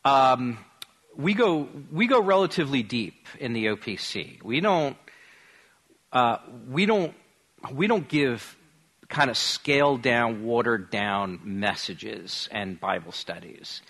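A man's voice: medium pitch, expressive, fairly bright tone. A man speaking as a pastor, teaching informally and often repeating and rephrasing as he goes. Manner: halting, repeats and rephrases phrases. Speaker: pastor teaching his congregation. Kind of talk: informal church teaching on evangelism.

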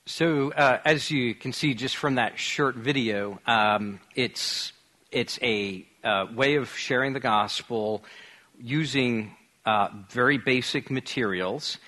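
A man's voice: mid-range voice, pushed and bright. A man speaking as a pastor, teaching informally and often repeating and rephrasing as he goes. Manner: halting, repeats and rephrases phrases. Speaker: pastor teaching his congregation. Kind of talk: informal church teaching on evangelism.

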